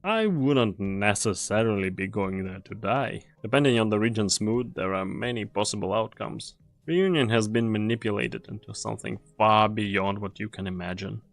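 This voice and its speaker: A man acting as a world-weary mercenary comrade with a fairly low voice. He speaks gravely and deliberately, like a fatalist who still does his duty. It is anime game dub acting, recorded dry with faint music underneath. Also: dry recording, lightly acted.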